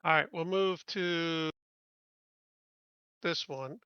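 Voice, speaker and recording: A man's voice: fairly high pitch, drawn-out words, smooth, no echo, dull audio. A man chatting casually as a player in a tabletop roleplaying game.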